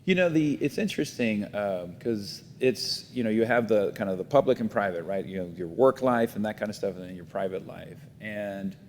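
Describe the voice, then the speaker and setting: Man, middle-aged, from Miami, low voice, reflective, many fillers, echoey room. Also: normal speed, hardly any pauses. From Miami, United States. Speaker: guest, foundation CEO. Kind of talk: casual interview talk. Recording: roomy reverb, faint rumble.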